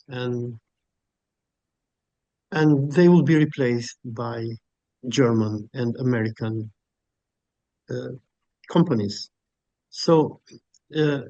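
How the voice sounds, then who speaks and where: A man's voice: medium pitch, darkish tone, no echo. Guy from albania lecturing online, lots of uh's, kinda muffled and dry. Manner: emphatic, with frequent uh fillers. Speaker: guest speaker. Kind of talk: academic webinar lecture and Q&A.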